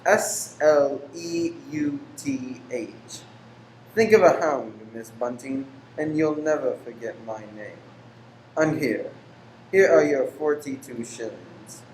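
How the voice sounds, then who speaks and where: An actor in a radio play playing a weary, pious, secretive lodger who is formal, exacting and easily angered. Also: male voice, medium pitch, lively intonation, slow, a little mellow. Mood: formal, businesslike. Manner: formal, exacting, abrupt then apologetic. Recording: roomy echo with faint background noise.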